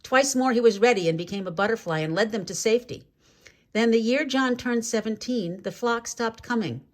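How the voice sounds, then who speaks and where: A woman reading a children's story aloud in an expressive, measured way, drawing out key words for drama. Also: fairly high pitch. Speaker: storybook reader.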